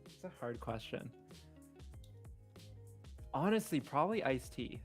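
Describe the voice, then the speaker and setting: A man's voice: mid-range voice, big pitch swings, unhurried. A young man co-hosting a podcast, chatting in an easygoing, slangy way. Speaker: podcast co-host. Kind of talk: casual chat. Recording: music playing behind the voice. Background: background music.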